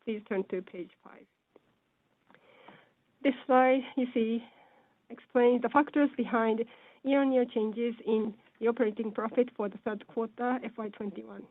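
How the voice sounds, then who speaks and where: A woman giving a formal, scripted financial presentation in a measured, businesslike way over a conference call. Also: fairly high pitch, fairly even intonation, no room sound. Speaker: presenter on an earnings call, speaking as or interpreting for a company executive. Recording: phone-like narrow-band conference call line.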